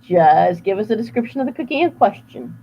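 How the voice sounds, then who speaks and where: A woman voicing a sly, scheming male cat detective who talks smoothly. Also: mid-range voice, big pitch swings, a little fast, muffled recording, a little hiss or hum.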